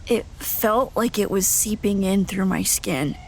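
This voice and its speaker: Wary young narrator actress, slow, fry-edged, plain first-person audio drama delivery, faint noise. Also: female voice, mid-range voice, lightly acted. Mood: wary, recounting. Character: uneasy young wanderer recounting an ordeal.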